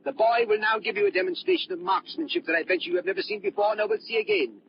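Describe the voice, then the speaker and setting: An actor playing a commanding, menacing old doctor in theatrical 1940s radio style, speaking formally. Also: male voice, high-pitched, very animated, fast talker, vocal fry, exaggerated voice. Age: older. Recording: muffled, hissy old radio drama recording.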